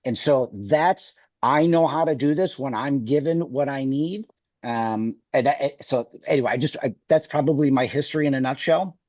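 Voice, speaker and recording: A man's voice: medium pitch, moderate pace, darkish tone, no echo. Wisconsin-accented man, dry video call. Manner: rambling, many restarts. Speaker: sales executive. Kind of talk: video call talk to sales team.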